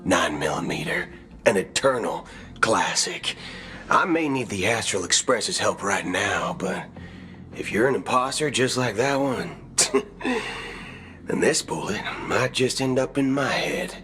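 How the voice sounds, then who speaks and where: A folksy space cowboy from a video game, all swagger and playful threat. He talks in a bright, slow Western American drawl that swings widely in pitch. Faint music plays behind him. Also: expressive. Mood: menacing, playful. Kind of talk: video game cutscene acting. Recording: background music.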